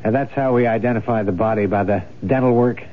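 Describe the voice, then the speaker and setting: A man's voice: fairly low pitch, quick pace, natural voice. An actor playing a confident, knowing police lieutenant, dry and assured as he questions a suspect in 1970s radio drama style. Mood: matter-of-fact. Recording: tinny, band-limited old radio broadcast.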